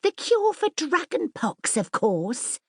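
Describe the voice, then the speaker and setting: Woman voicing a haughty princess girl, high and swooping. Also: very animated, slow pace, darkish tone, husky, dry recording, clean studio sound, full character voice. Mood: bossy. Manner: grand, theatrical, bossy. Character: haughty, boastful, mischievous young princess girl. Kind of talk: children's audiobook character voice.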